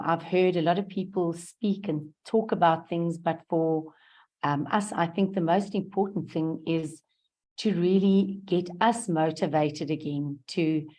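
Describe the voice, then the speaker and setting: A hoarse woman gives earnest, halting feedback on a video call, with many pauses and ums. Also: on the low side, warm tone. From South Africa. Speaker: guest speaker sharing event feedback. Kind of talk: unscripted testimonial on a video call.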